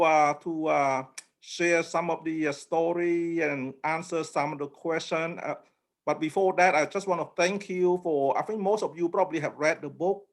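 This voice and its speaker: This is a middle-aged man from Cambodia, a guest in an informal interview. His voice sits a little high for a man. He talks humbly and haltingly, with frequent pauses. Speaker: interview guest, memoir author.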